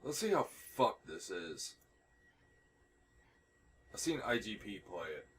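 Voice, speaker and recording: A man with a lowish voice gives laid-back streamer commentary on a game. He reacts in short, offhand, salty remarks, a little away from the mic with faint noise behind him. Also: very animated, slow, far from the mic. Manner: offhand, profane, brief reactions. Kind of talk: casual livestream gameplay commentary.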